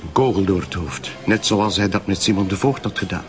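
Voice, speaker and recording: A man's voice: low voice, a little fast, over music, natural voice. A man with a Flemish accent playing a talkative, eager police sidekick in an audio drama, speaking in a slightly florid way. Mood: eager, knowing.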